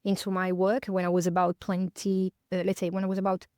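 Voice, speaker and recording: A woman in her fifties from northern Italy, speaking English with an Italian accent. Her voice is smooth and resonant, and the recording is close and dry. She talks in a thoughtful, searching way, full of fillers and self-corrections. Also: mid-range voice, completely flat delivery, a little fast, darkish tone, no room sound. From northern Italy, near Milan. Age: 50s. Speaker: podcast guest. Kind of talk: reflective conversational interview.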